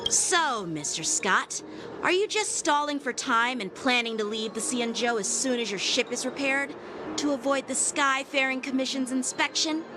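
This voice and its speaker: A woman plays an exacting official in a video game. Her voice sits fairly high, and she is formal, stern and authoritative, with music behind her. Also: normal speed, lightly acted. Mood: suspicious. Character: stern, precise female legal-minded port official.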